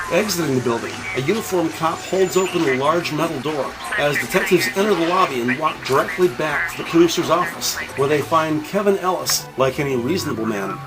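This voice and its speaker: A man reads scripted narration for a crime audio drama. His voice sits fairly high for a man and his delivery is formal and descriptive. Music is clearly heard underneath. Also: low-quality recording.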